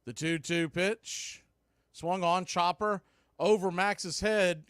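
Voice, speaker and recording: A man calling baseball play-by-play in a relaxed, chatty way with wry asides. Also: sits high, slow, clear, resonant tone, dry recording, studio quality. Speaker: play-by-play announcer.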